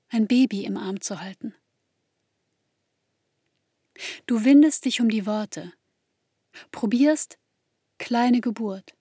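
A woman acting as an intimate, grieving narrator in a literary radio play, speaking in a slow, measured way to a bereaved mother. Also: on the high side, dark tone, no room sound, clean studio sound. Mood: reflective, aching. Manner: slow, measured, intimate second-person delivery.

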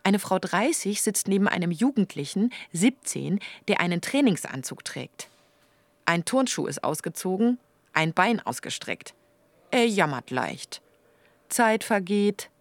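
An actress as a detached radio play narrator, reading terse stage directions in a clipped, matter-of-fact way. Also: female voice, mid-range voice, big pitch swings, unhurried, no echo.

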